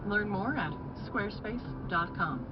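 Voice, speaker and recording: A woman reading sponsor messages in a smooth, scripted way. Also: medium pitch, lively intonation, a little slow, dull audio, loud music behind the voice. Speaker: sponsor announcer. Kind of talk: scripted ad reads.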